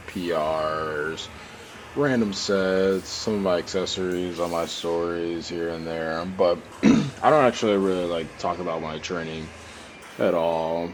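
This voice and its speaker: A young man with a very low voice talks over his training footage while getting over a cold. He sounds stuffy and congested and keeps clearing his throat, wandering from point to point with plenty of fillers. A faint hiss sits under the recording. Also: low-quality recording. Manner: rambling, many fillers and restarts. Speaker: powerlifter narrating his training. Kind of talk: casual voice-over narration.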